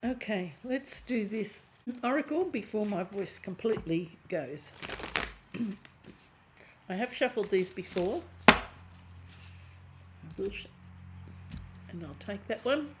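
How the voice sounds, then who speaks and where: A woman giving a chatty tarot reading to camera, hoarse and often clearing her throat as her voice fades. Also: medium pitch, expressive, takes it slowly, long pauses, background noise. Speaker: tarot reader.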